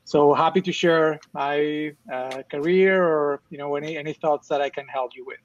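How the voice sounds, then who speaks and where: A man from Spain who speaks in halting, hesitant bursts on a panel. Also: a little high. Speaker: cybersecurity program director. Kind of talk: panel introduction. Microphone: video call. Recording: dull, lo-fi video call audio.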